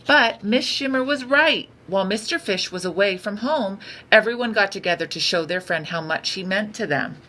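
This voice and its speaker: A woman reading a picture book aloud for children in an expressive, unhurried storytelling manner. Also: medium pitch. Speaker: storytime reader. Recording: noticeable background noise.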